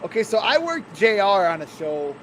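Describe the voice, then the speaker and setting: A man's voice: high-pitched, big pitch swings, moderate pace, fairly bright tone, slight background noise, low-quality recording. A man, a wrestler co-hosting a podcast, telling profane stories and laughing often. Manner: laughing, swearing storyteller. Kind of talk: casual profane chat.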